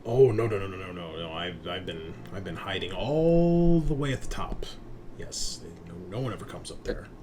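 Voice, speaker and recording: A man's voice: low voice, lively intonation, normal speed, dark tone, voice pushed down. Man putting on a hesitant, muddled stranded doctor. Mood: flustered, vague. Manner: hesitant, rambling, forgetful. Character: confused pantsless doctor hiding upstairs, muddled and friendly. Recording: noisy room recording.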